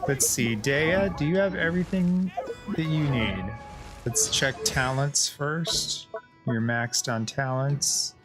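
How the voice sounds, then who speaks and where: A man's voice: medium pitch, takes it slowly, music in the background. A man giving casual, chatty gameplay commentary as a streamer, often addressing the game characters. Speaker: gaming streamer.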